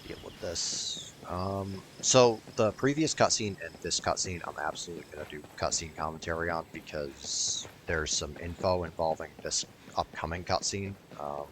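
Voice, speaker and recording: A man's voice: low-pitched, moderate pace, hardly any pauses, a bit bright, a little hiss or hum. A man giving casual, rambling gameplay commentary in a blunt, sweary way. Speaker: gaming video creator.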